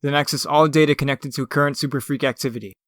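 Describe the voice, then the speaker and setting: A man's voice: mid-range voice, fairly even intonation, quick pace, fairly bright tone, dry recording, studio quality, lightly acted. A man playing a commanding, no-nonsense superhero team leader who barks clipped orders in a comic book dub. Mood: stern, urgent.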